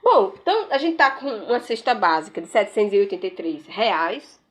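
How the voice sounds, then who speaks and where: A woman's voice: medium pitch, animated, fairly quick, fairly bright tone. A woman giving casual political commentary while browsing pages on her screen, sarcastic and rambling, tagging sentences with questions. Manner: frequent "né?" tags, rambling, sarcastic.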